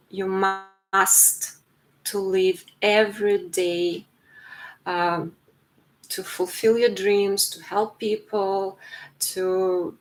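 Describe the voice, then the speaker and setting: Slow-talking Ukrainian woman with a gravelly voice. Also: mid-range voice, poor audio. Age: 40s. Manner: slow, earnest, halting with many fillers and restarts. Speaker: writer streaming to her channel. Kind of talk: casual live stream monologue.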